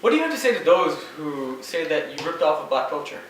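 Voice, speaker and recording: A young man plays news reporter in a scripted parody, talking quickly with lively pitch swings. The room is very echoey and he sounds off the mic. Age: young adult. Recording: very echoey room, distant, some noise.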